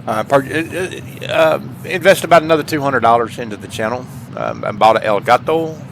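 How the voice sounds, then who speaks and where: A man of average pitch talks casually to his online viewers. He is earnest and folksy, doubling back on phrases to stress them. Some noise sits behind his voice. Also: moderate pace. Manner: folksy, repeats phrases for emphasis; earnest, heartfelt. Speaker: gaming channel host. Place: indoors at home, paintings behind. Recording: clear background noise.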